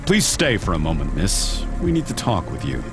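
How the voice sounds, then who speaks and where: Casting: mild-mannered male gate guard for a game, deep voice, officious yet plain-spoken, music behind. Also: wildly swooping pitch, normal speed, full character voice. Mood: firm, official. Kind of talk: video game voice acting.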